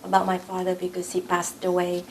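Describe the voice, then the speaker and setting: A woman's voice: lowish voice, completely flat delivery, fairly quick, darkish tone, a little hiss or hum, poor audio. A woman in her late forties from South Vietnam, a native Vietnamese speaker with a Vietnamese accent, recounting personal memories in an interview. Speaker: oral history interviewee.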